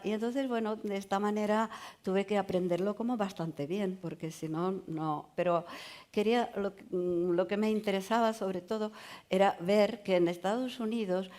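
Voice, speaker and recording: A woman writer on a literary panel speaking Spanish as a learned language. She talks hesitantly, with many pauses and fillers. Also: mid-range voice, a little mellow. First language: not Spanish. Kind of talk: conversational panel talk.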